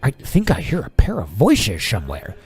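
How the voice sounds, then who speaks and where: A man's voice: medium pitch, wildly swooping pitch, moderate pace, husky, faint music behind, heavily acted. A man voicing a curious, hungry dog who mutters to himself in an audio drama. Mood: curious, muttering. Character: curious, hungry dog sneaking around at night.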